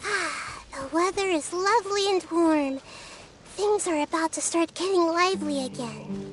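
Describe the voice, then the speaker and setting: An actress voicing a tiny, childlike fairy companion in a video game, squeaky, expressive and full of curiosity. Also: female voice, measured pace. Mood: chirpy. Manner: exclaiming, questioning, expressive. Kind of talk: scripted video game dialogue. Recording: music clearly behind the voice.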